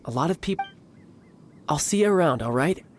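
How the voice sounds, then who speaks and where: A man's voice: on the high side, lively intonation, normal speed, a little hiss or hum, lightly acted. An actor playing a playful young male agent who poses as a toy seller in a video game, breezy, teasing and polite. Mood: gently evasive.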